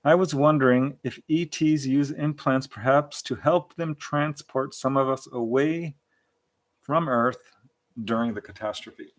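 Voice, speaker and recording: A man's voice: mid-range voice, unhurried. A man hosting an informal, chatty live question-and-answer session, reading out audience questions. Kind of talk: casual live Q&A chat.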